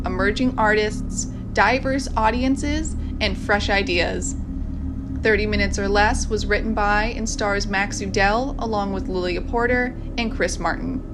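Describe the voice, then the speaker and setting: A woman reading a scripted outro as announcer for an audio drama series. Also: medium pitch. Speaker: announcer for a theatre company. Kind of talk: scripted podcast outro, thanks and credits. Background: music.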